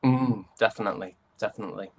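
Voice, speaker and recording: A man's voice: lowish voice, fast talker, creaky, darkish tone, slightly rough. A British man talking casually as an interview guest, thoughtful and enthusiastic about his craft. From United Kingdom. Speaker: interview guest, stage and voice actor.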